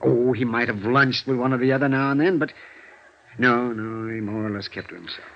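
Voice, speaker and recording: An actor playing a fretful, hesitant head of an accounting department in a vintage radio drama, often flustered and repeating himself. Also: male voice, mid-range voice, brisk, lightly acted. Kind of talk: 1950s radio drama acting. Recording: dull vintage radio recording, faint noise.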